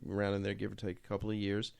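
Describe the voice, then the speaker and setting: A man's voice: fairly low pitch, completely flat delivery, very fast, vocal fry, darkish tone, smooth, no echo. A middle-aged or older man co-hosting a show, chatting casually and often searching for words. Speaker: show co-host. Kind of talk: casual chat.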